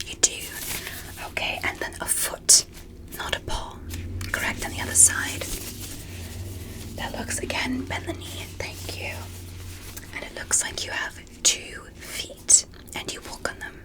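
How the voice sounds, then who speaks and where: Woman as a whispering, gentle alien doctor. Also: measured pace, pauses often, natural voice, low-quality recording. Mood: gentle, clinical. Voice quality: breathy, unvoiced whisper. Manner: careful, encouraging, formal clinical phrasing. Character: gentle, curious alien doctor examining a human. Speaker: ASMR performer. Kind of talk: ASMR medical roleplay, whispered.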